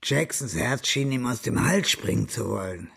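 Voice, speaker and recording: An actor narrating a German radio crime play in scripted, measured prose with a gravelly voice. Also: male voice, medium pitch, expressive, very noisy. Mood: tense, descriptive.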